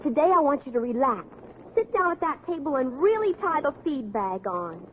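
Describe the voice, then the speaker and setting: A woman's voice: sits high, very animated, moderate pace. An actress playing an earnest, chatty, meddling teenage schoolgirl in a vintage radio sitcom. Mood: earnest, gushing. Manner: gushing, earnest, tactlessly frank. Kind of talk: 1940s-50s radio sitcom acting. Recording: narrow vintage radio recording, audience, hiss.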